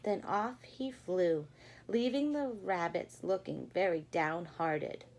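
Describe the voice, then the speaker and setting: A woman doing an expressive read-aloud for young children, pausing to put questions to them in a teacherly way. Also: lowish voice. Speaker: storyteller reading a picture book to children. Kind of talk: animated read-aloud with asides to young listeners.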